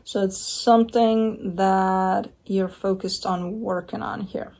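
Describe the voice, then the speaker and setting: A woman's voice: medium pitch, fairly even intonation, slow, creaky, darkish tone. A woman giving a casual tarot reading at home, talking in a chatty, rambling way with blunt asides. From Romania. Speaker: tarot reader. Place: home by a window with a bird feeder.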